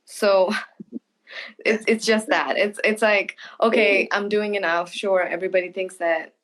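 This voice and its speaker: A woman's voice: mid-range voice, fairly even intonation, low-quality recording. A woman author being interviewed, talking casually and thoughtfully, often restarting her sentences. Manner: thoughtful, halting with restarts and soft laughs.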